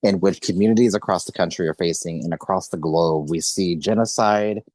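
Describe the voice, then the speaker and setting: A young adult man from the United States hosting an interview podcast, speaking earnestly with emphatic, deliberate phrasing. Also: deep voice, a little mellow, no room sound.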